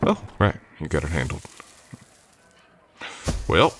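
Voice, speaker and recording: A man playing a polite, easygoing drifter cowboy in an old west roleplay, soft-spoken, with folksy, slightly hesitant courtesy. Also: a bass voice, huge pitch swings, takes it slowly, noisy background, exaggerated voice. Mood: willing, easygoing. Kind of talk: ASMR roleplay acting, addressing the listener.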